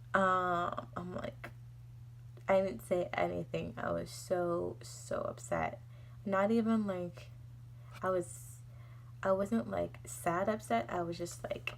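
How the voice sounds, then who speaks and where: Young woman, heavy vocal fry, casual storytelling, exasperated rambling delivery, faint humming background noise. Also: medium pitch. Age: young adult. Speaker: vlogger.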